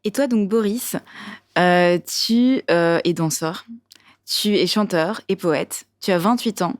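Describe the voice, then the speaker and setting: A woman's voice: lowish voice, slow. A woman moderating a panel discussion, asking questions in a friendly way with some fillers.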